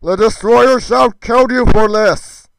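Boastful aging warlord for game roleplay, strained high shout, pompous and combative. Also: male voice, slow, vocal fry, clear, resonant tone, no echo, full character voice, poor audio. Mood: furious. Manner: pompous, shouting, speaks of himself in third person. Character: boastful, belligerent aging warrior villain, loud and bellowing. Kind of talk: theatrical fantasy roleplay acting.

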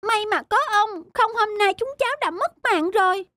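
A woman voicing a small, mischievous young squirrel in a cartoon, with a childish, excitable way of talking. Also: extremely high pitch, moderate pace, no echo. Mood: apologetic. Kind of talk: cartoon voice acting. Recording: faint music behind.

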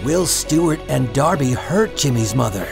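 Animated male drama announcer over music. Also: medium pitch, measured pace, gravelly. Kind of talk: scripted narration, radio drama credits read.

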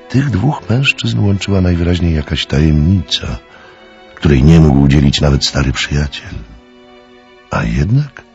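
A man's voice: rumbling low, gravelly, dull audio. A man narrating a dramatic mystery story as a scripted radio play narrator.

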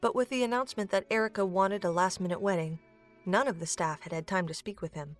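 A woman's voice: mid-range voice, quick pace. A woman reading scripted narration for a fantasy audio drama. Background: music.

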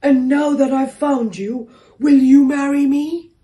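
An earnest, lonely talking door, as a woman storyteller plays him, pleading softly and only slightly raised from her usual voice. He sounds hopeful. It is recorded from a distance in an echoey room. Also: sits high, fairly even intonation, a little slow, voice pushed up.

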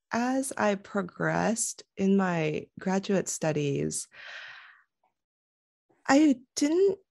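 A woman's voice: medium pitch, creaky, darkish tone, no echo, studio quality. A woman, a guest on a video-call interview, talking thoughtfully with frequent restarts and fillers. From United States. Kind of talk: casual conversational interview. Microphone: headset.

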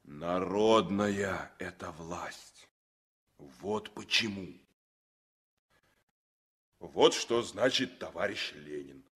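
A man's voice: low voice, very slow, long pauses, fairly bright tone, husky, exaggerated voice. A man playing a hearty, folksy sailor in a 1960s Soviet radio play, musing and emphatic. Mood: proud, emphatic. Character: hearty, confiding Baltic sailor, devoted to revolution.

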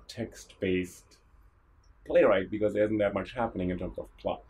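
A man with a low-pitched voice speaks as a podcast host. He moves between introduction and interview questions, in a conversational register suited to discussion. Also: normal speed, a little mellow, slightly rough, lo-fi sound.

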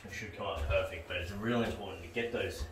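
A man's voice: low-pitched, fast, a little hiss or hum. A middle-aged man giving casual, practical how-to commentary in short plain phrases while he works, presenting as a tradesman. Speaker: tradesman presenting a renovation video. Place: small tiled bathroom under renovation, indoors. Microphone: camera a few steps away. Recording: roomy echo, distant camera mic, music.

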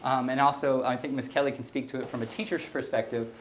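A man's voice: medium pitch, fairly even intonation, quick pace, a little hiss or hum. A middle-aged man, a school principal, explaining things in an upbeat way as he walks through school halls and classrooms, speaking into a handheld mic. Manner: upbeat, explanatory, walking while talking. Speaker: school principal leading a tour. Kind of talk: casual guided tour talk. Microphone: handheld microphone.

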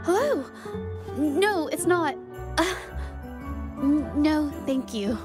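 An actress playing a timid, polite young painter in a video game, speaking with shy hesitation. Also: female voice, sits high, expressive, drawn-out words, over music, exaggerated voice. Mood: flustered, timid. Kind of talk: voice-acted game dialogue.

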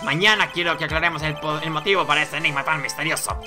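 A performer voicing a stern but befuddled old male judge in a game, solemn and deliberate. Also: mid-range voice, music in the background, lightly acted. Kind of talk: video game dialogue read aloud with character voices.

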